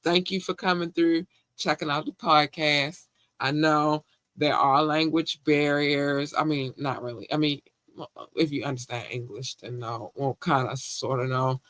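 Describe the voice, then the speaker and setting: A man with a Baltimore accent hosting a podcast, chatting casually and warmly shouting out his listeners in slangy talk. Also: a little high, fairly even intonation, a little slow, no echo. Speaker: podcast host. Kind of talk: casual live-stream chat.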